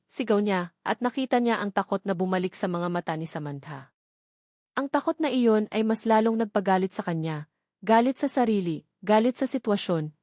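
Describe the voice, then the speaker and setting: A woman reading a scripted dramatic story as an audiobook narrator, in a measured, emotive way. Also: medium pitch, darkish tone, no echo, clean studio sound.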